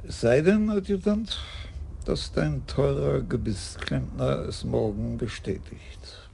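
An actor playing a shrewd, easygoing older police commissioner who talks in a slow, wry, unhurried way. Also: male voice, mid-range voice, gravelly, dark tone, a little hiss or hum. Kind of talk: radio drama acting.

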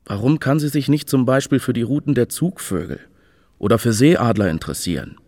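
An actor playing a disgruntled, brooding royal guardian in a radio play, sardonic in manner. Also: male voice, mid-range voice, expressive, fairly quick, a little mellow. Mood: sardonic, grumbling. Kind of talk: radio play acting, monologue and dialogue.

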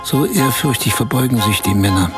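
An actor in a radio play as a man recounting, in measured detail, a strange dreamlike night of being pampered. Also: mid-range voice, lively intonation, lightly acted. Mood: solemn wonder. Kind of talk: German radio play monologue. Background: music.

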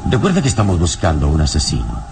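A wise, commanding adventurer with a very low voice. He speaks with deliberate, sententious drama and wide melodic swings, in old radio serial style. The recording is clearly noisy. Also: wildly swooping pitch, full character voice. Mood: composed, knowing. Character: calm, wise, commanding male adventurer hero.

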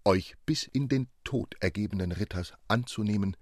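A man's voice: fairly low pitch, moderate pace, darkish tone, no echo, clean studio sound, voice pushed up, natural voice. A man voicing a gallant, devoted little nutcracker knight with ornate, courtly speech in a storybook reading. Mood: triumphant, devoted. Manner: ceremonious, ornate courtly address.

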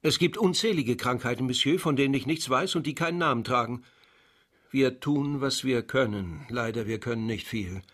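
A sober physician of old Paris, played by an actor, with a medium-pitched voice. He talks formally and courteously, with a resigned gravity suited to a period radio mystery. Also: moderate pace, fairly bright tone, close to an everyday voice. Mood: resigned, guarded. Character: sober, resigned hospital doctor in 17th-century Paris. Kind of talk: German radio crime drama acting.

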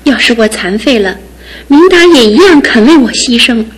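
An actress playing a devoted young fiancée in a studio radio drama, speaking earnestly and with soft insistence. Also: female voice, a little high, animated, moderate pace, poor audio. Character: devoted young fiancée, gentle but resolute.

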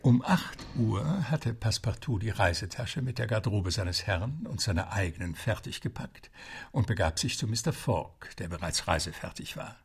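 Courteous butler narrator, deepish voice, animated intonation, wry asides, radio drama. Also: male voice, moderate pace, gravelly, breathy edge, full character voice. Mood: measured storytelling. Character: James, a genteel English butler telling the tale.

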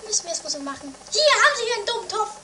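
A woman playing a brash, excitable, squabbling treasure thief in a theatrical amateur radio comedy. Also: very high voice, very fast, a loud crowd. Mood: grumbling. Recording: slightly noisy 1980s radio play tape.

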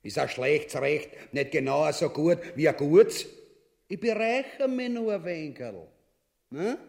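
A man's voice: a little high, a little slow, vocal fry, a little mellow. An actor playing a greedy, boorish usurper king and husband in a grotesque radio drama, blustering and commanding in an Austrian accent. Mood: greedy, plotting.

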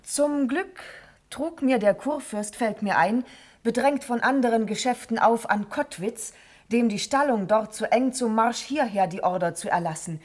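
A woman's voice: fairly high pitch, moderate pace, a little mellow, lightly acted. A woman playing a young noblewoman in a classical verse radio drama, resolute, urgent and tender, with formal declamatory diction. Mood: resolute, scheming.

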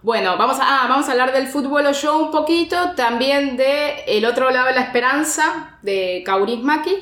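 A woman's voice: high voice, moderate pace, a bit bright. Argentine woman hosting, echoey sound. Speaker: radio show host. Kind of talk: casual film discussion. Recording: roomy, echoing sound.

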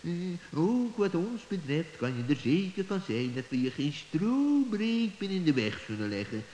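A servile animal sidekick, slow and swooping in pitch. The creature fawns obsequiously, in an echoey, hissy recording. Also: big pitch swings, dark tone. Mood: fawning. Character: fawning animal henchmen of a witch, fox and crow. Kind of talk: children's radio play acting. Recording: hissy old recording, echoey hall reverb.

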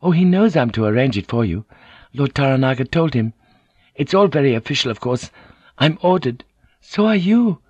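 A man voicing a poised, insistent noble Japanese woman who coaxes and pleads gently. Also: mid-range voice, big pitch swings, moderate pace, breathy edge, no room sound, lo-fi sound, pitched above the performer's own voice. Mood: coaxing.

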